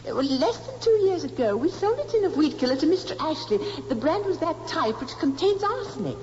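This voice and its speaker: A woman's voice: high voice, sing-song, fairly quick, darkish tone. A woman playing a proper, self-assured shopkeeper's wife testifying as a witness in an old-time radio drama. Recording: muffled, noisy old radio recording.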